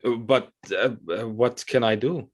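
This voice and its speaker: A man playing a flattering, deferential court artist who speaks in a formal, halting way in an audio drama. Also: medium pitch, close to an everyday voice. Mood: sympathetic, hesitant. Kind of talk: theatrical audio drama acting.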